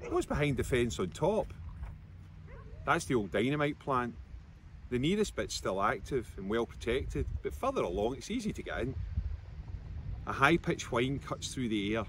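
A man's voice: medium pitch, sing-song, normal speed, long pauses. A man in his fifties from Scotland, an author reading narrative prose aloud from his own book, outdoors. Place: outdoors by a seafront concrete wall. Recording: outdoor recording with background noise.

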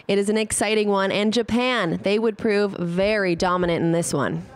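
A woman giving scripted sports highlights commentary as a voice-over. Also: moderate pace, no echo. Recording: clear ambient event noise behind voice.

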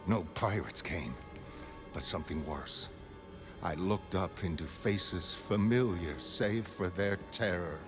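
Dude doing doomed sailor narrator, deep n slow, solemn haunted gothic horror comic, big music behind. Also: male voice. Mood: haunted dread. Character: doomed shipwrecked male mariner narrating a horror tale. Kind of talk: grim gothic storybook narration in a motion comic. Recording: studio voice over prominent music.

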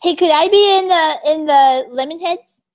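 A woman voicing an eager yellow furry puppet creature who chirps hopefully and is easily let down. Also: extremely high pitch, normal speed. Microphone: laptop webcam on video call.

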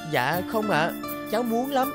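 A woman voicing a timid, polite homeless orphan boy in an animated moral tale, speaking earnestly and a little hesitantly. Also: mid-range voice, unhurried. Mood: grateful. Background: music.

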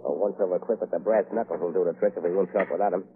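Man playing a deep-voiced, menacing radio gangster. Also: fairly even intonation, racing, faint music behind, close to an everyday voice. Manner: terse, hard-boiled underworld slang. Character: menacing tough gangster visiting his accomplice. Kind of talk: 1930s radio crime acting. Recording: narrow, lo-fi 1930s radio recording.

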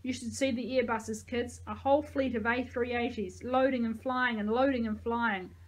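A woman acting a gravelly, bossy army reservist woman. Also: on the high side, steady tone, moderate pace, lightly acted. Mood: reassuring. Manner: bellowing orders, folksy endearments. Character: tough, bossy grey-haired woman army reservist, motherly toward kids.

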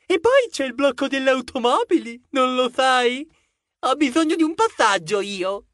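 A cheeky little male mouse detective, acted by a woman, pitched very high and recorded dry and close. He is bossy and teasing, forever exclaiming and scolding his friend in a children's audio story. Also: very animated, moderate pace, a bit bright, no echo. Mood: indignant, bossy.

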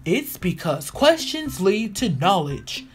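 A woman reading a picture book aloud for young children, expressive and deliberate in her storytelling. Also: medium pitch. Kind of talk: animated read-aloud narration for young children. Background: music.

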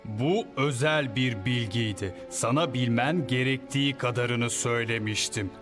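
A grave, commanding cartoon president, acted by a man, speaking in a measured mid-pitched voice over clear background music. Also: natural voice. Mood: grave, insistent. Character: solemn, imperious male head of state. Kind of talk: animated series dub in Turkish.

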